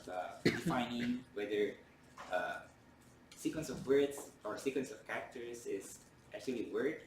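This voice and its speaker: A man's voice: on the low side, a little hiss or hum. A man whose first language is Indonesian, giving a university lecture in a hesitant way with many fillers and restarts. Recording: roomy lecture hall from far off.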